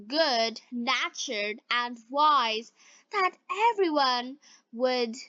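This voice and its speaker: A woman storyteller with a bright voice reads scripted children's tales for learners. She goes extremely slowly, setting each short phrase apart. The recording is dry and somewhat dull. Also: sits high, no echo. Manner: deliberate phrasing in short separated chunks. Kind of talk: scripted fairy-tale narration for beginners.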